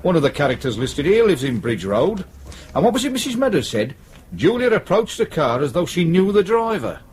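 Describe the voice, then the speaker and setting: An actor playing a sardonic, commanding police detective in a radio drama, with a British accent and a dry, assured way of talking. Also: male voice, on the high side, sing-song, a little fast, a little mellow, a little hiss or hum. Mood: pleased, brisk. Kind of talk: naturalistic radio drama acting.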